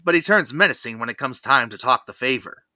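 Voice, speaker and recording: Animated, bright-voiced podcast host recapping. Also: mid-range voice, a little fast, dry recording. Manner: animated, theatrical storytelling. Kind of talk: lively cartoon episode recap.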